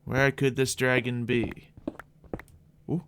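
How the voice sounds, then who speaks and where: A man's voice: mid-range voice, little pitch movement, slow, noisy background. A man giving playful, improvised gameplay commentary as a host, thinking aloud to viewers while roleplaying. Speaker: gaming host playing a roleplay character.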